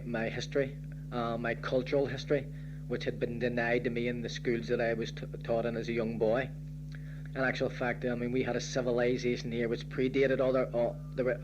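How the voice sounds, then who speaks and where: A man's voice: medium pitch, dull audio, background noise. A man from Ireland answering interview questions, thoughtful and hesitant, often repeating words as he searches for them.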